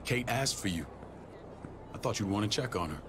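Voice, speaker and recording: An actor playing a stern, middle-aged American survivor-camp authority in a video game, curt, commanding and menacing. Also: male voice, lowish voice, animated, normal speed, noisy background, natural voice. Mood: grave. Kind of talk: dramatic video game voice acting.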